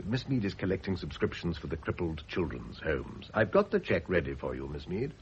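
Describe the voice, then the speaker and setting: An actor playing a suave, evasive middle-aged British gentleman and teasing seducer in an urbane, sardonic radio drama style. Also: low-pitched, huge pitch swings, brisk, heavily acted. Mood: smoothly polite. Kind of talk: 1970s radio drama acting. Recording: dull vintage radio recording with faint hiss.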